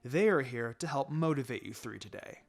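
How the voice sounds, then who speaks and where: A man voicing a calm, dry adult ninja teacher in a story reading, with a low-key, gently chiding manner. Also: moderate pace, soft background music. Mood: dryly teasing.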